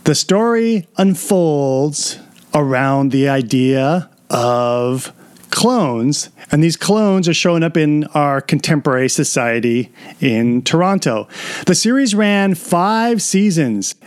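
A man's voice: fairly high pitch, expressive, slow, clean tone. A man hosting an interview, moving between a scripted introduction and questions for his guest. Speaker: interviewer and host.

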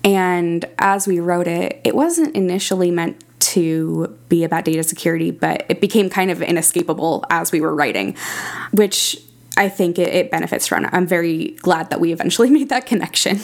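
A woman's voice: lowish voice, normal speed, creaky. A woman speaking as an interview guest, explaining things in an articulate, conversational way. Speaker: podcast critic and audio drama creator, guest.

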